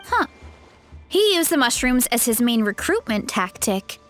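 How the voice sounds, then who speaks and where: A woman's voice: on the high side, big pitch swings, unhurried, fairly bright tone, soft background music. A woman playing a young female adventurer in a video game, sweet, eager and easygoing, with a light, earnest delivery. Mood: thoughtful. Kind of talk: video game character acting.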